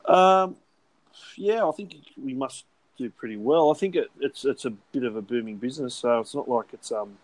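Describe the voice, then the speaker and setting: A man's voice: mid-range voice, moderate pace, rough recording. An Australian man hosting a casual chat, blokey and talkative, swearing offhandedly and often laughing while he talks.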